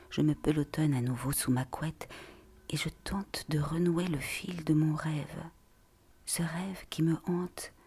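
A narrator reads poetic prose in a hushed, deliberate, breathy voice that often drops to a near whisper. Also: mid-range voice. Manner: slow, hushed, deliberate delivery. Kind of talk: literary reading of poetic prose.

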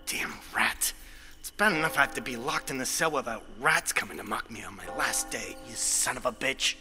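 A man's voice: mid-range voice, normal speed, lightly acted. A man playing a bitter, anxious condemned doctor in a prison audio drama, brooding and irritable. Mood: bitter, irritated. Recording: hall reverb, music behind.

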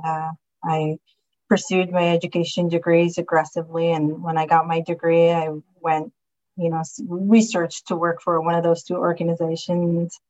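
A woman from Somalia in her forties talks as a guest in an interview. Her voice is fairly low and stays even and flat as she tells her story earnestly, pausing often on "um" and "uh". The remote call audio sounds a little dull and muffled. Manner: earnest, halting, many ums and uhs. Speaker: guest, county commissioner.

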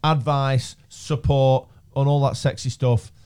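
A man's voice: medium pitch, flat delivery, takes it slowly, a little mellow, clear, resonant tone. A man, hosting a podcast in a studio in a joking, rambling way that repeats phrases. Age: 30s. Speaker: podcast host. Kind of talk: casual chat.

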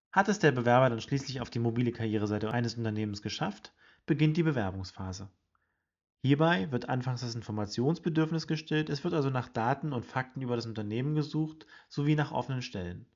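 A man hosting a weekly HR news podcast, reading a scripted report in a brisk, informative way and addressing listeners directly. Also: medium pitch, lo-fi sound. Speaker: podcast host presenting HR study results.

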